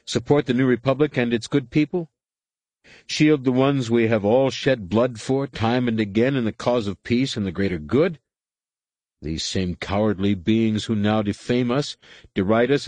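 A man's voice: medium pitch, a little mellow, no echo, pitched above the performer's own voice, natural voice. A man reading aloud, voicing an angry, defiant middle-aged male warrior-monk who argues in a heated, rising way.

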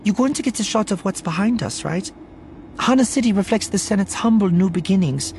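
A man's voice: sits high, lively intonation, brisk, gravelly, a little mellow, slight background noise, voice pushed up. A man voicing a small, earnest, nervous alien woman in an audiobook, with an eager, polite, anxious manner. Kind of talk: audiobook character voice.

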